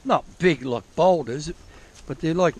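A man's voice: a little high, unhurried, breathy edge. Rambling man on a bush walk. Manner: rambling, self-correcting, swears casually. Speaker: prospecting vlogger. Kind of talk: rambling outdoor walkthrough. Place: bush forest outdoors, old diggings. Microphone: head-mounted action camera.

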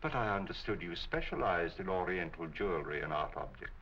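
Low-voiced, courteous gentleman detective. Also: animated. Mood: polite, probing. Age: 50s. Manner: measured, courteous, articulate. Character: courteous, shrewd middle-aged gentleman detective. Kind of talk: 1940s film acting. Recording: muffled, hissy 1940 film soundtrack.

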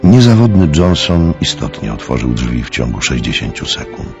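An extremely deep male narrator tells a radio play story. His voice is gravelly with wide, expressive swings, over faint music. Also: normal speed. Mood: storytelling. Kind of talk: scripted radio drama narration. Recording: dull, muffled recording.

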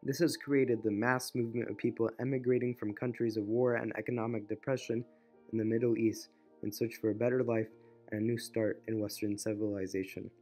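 Earnest teen narrator over music. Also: male voice, lowish voice, little pitch movement, a little fast, darkish tone. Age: teens. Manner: earnest, read-aloud delivery. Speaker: student documentary narrator. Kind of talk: scripted narration.

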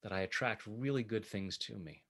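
A man working as a tapping coach, leading guided affirmations in short, measured phrases meant to be repeated. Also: fairly low pitch, a bit bright.

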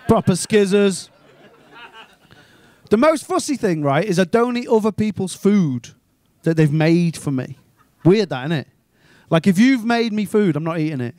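Man in his thirties, high-voiced stand-up, crude and incredulous, live in a small comedy club on a handheld mic. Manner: animated, crude, ranting and incredulous.

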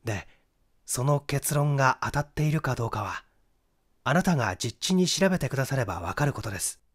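A young amateur detective from a mystery audiobook, performed by a man, clever and sure of himself. He explains methodically in a mid-pitched, very animated voice. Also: fairly quick, slightly rough. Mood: methodical, self-assured. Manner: explanatory, methodical, rhetorical questioning. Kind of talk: Japanese mystery audiobook acting.

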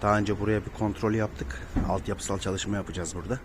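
A man with a fairly low voice gives an informal on-site repair walkthrough. He explains things directly to the viewer, like a technician showing his work. The sound has long hallway reverb and clear background noise. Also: fairly even intonation, fast. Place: indoors, apartment building hallway by cable shafts.

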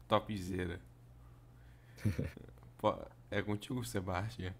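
Slow-talking young Brazilian man. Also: on the low side. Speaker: podcast co-host. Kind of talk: casual slangy chat.

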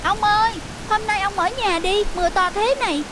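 A woman's voice: very high-pitched, normal speed, noisy background. A woman voicing an eager, chatty, slightly whiny little child in a cartoon. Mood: concerned. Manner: childish, chatty, polite to elders. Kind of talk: cartoon voice acting. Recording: music playing behind the voice.

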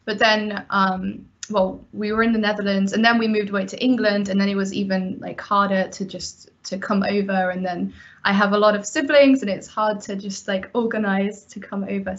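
A woman's voice: medium pitch, fairly bright tone. Young woman student, chatty, hesitant, laughs a lot, muffled call audio. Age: young adult. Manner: rambling, hesitant, laughs often. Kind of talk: casual chat on a video call. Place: bedroom at home. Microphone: laptop webcam on a video call. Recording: dull lo-fi video call audio.

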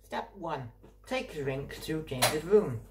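Playful teen narrating kitchen steps. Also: male voice, a little high, lively intonation, slow pace, very noisy. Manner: mock-serious, announcing each step, counting down aloud. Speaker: vlogger. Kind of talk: playful step-by-step cooking vlog. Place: home kitchen and bedroom, indoors. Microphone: handheld phone camera.

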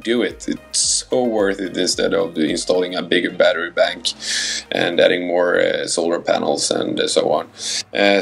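A man's voice: fairly low pitch, vocal fry. A man with a Scandinavian accent narrating a how-to voice-over about his sailboat, hesitant and full of fillers. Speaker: sailboat owner vlogger.

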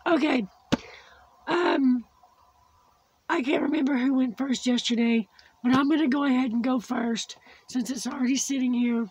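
A woman hosting a casual craft video, her voice worn from losing it after surgery, slowly chatting and cooing over small crafts. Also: sits high, a little mellow, slightly rough, low-quality recording. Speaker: crafting channel host. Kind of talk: casual unboxing chat. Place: indoors at a tabletop craft setup.

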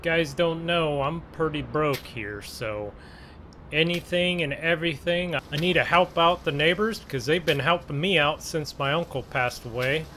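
A man giving casual role-play gameplay commentary in a halting way, musing aloud to himself. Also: on the high side, sharp tone. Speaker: gaming channel host. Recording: clear engine and vehicle noise behind. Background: game vehicle engine sounds.

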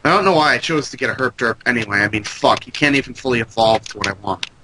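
A stuffed-up, congested man chats through a let's play, joking and ranting with a foul mouth at a middling pitch. Keyboard clicks and typing clatter clearly behind his voice. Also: lively intonation, fast talker, noisy background. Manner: joking, profane, ranty. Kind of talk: casual gaming commentary. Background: computer keyboard clicks.

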